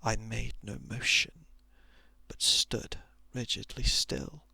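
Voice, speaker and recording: A man softly reading a horror story in ASMR style, often slipping into a whisper, with deliberate dramatic pauses, close to the microphone. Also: fairly low pitch, flat delivery, no echo. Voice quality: soft, breathy, often dropping into whisper.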